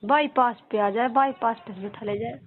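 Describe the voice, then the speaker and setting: A woman's voice: on the high side, moderate pace, a little hiss or hum. A woman with a Mewati accent chatting informally in rustic dialect on a recorded phone call. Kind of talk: casual private phone chat. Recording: tinny narrow phone line.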